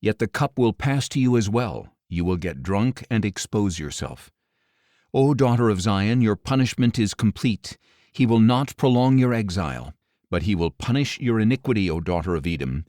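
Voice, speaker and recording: A man narrating scripture as an audiobook, with a solemn, measured delivery and deliberate phrasing. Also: lowish voice, expressive, darkish tone, no echo, studio quality.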